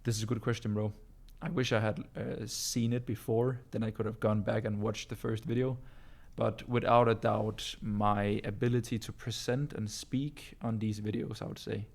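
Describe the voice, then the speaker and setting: A Danish man speaks English as his second language, with a low voice that stays fairly level. He talks loosely and casually, peppering his answers with bro and um as he coaches his viewers. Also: level tone, normal speed, creaky. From Denmark. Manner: casual, calls listeners bro, many ums and uhs. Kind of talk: casual live-stream Q&A.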